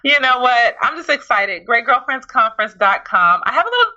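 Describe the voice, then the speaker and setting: Playful teasing woman cohost, bright sharp voice, kinda dull lo-fi audio. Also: a little high, very animated, brisk. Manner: playful, teasing, upbeat promoter. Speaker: podcast co-host. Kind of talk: casual chat.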